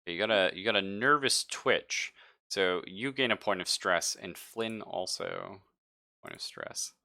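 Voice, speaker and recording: A man's voice: sits low, a little slow, vocal fry, sharp tone, no room sound, studio quality. A man narrating a tabletop roleplaying game as game master, casual and joking, with some swearing. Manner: casual, profane, joking asides.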